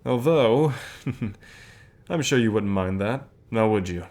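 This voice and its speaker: A man playing a calm, encouraging company boss in an ASMR roleplay, speaking in a measured, unhurried way with pauses. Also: on the low side. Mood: teasing, flirtatious. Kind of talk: ASMR roleplay, softly acted one-sided dialogue.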